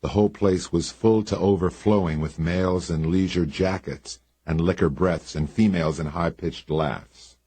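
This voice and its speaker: Deep-voiced male reader, laconic wry detective narration, husky, dry close mic. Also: fairly even intonation, moderate pace, hardly any pauses, mellow, no echo. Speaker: audiobook narrator. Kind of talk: first-person hardboiled detective narration.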